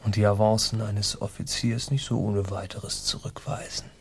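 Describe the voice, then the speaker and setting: An actor playing a scheming, vengeful colonial army captain in a German radio play, speaking in a pompous, formal and menacing way. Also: male voice, lowish voice, flat delivery, normal speed, creaky, breathy edge. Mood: gloating, scheming.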